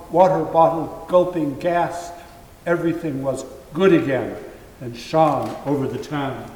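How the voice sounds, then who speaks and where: A man giving a deliberate, phrase-by-phrase dramatic reading of a story. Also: a little high. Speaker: storyteller reader. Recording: echoey hall, distant from mic.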